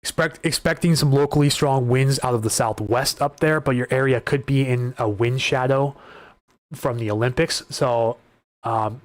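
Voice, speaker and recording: A man hosting a live weather stream, talking through wind data and viewer questions in a casual, conversational way, often restarting his sentences, his voice starting to go hoarse. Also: mid-range voice, normal speed, dry recording. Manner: conversational, repeats words and restarts while reading data. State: voice getting hoarse. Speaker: weather livestream host.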